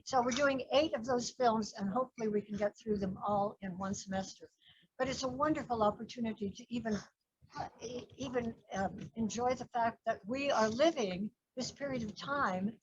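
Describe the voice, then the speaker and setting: An older woman teaching a film class, chatty and soft-spoken, introducing her course with small self-mocking laughs. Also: on the high side, moderate pace, breathy edge, no echo, muffled recording.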